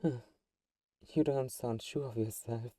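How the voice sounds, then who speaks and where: A man playing a teasing, possessive vampire who is friends with a hunter, in an intimate ASMR audio roleplay with sighs and soft chuckles. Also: mid-range voice, steady tone, a little slow, no room sound, studio quality, recorded from a distance, natural voice. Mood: knowing, intimate.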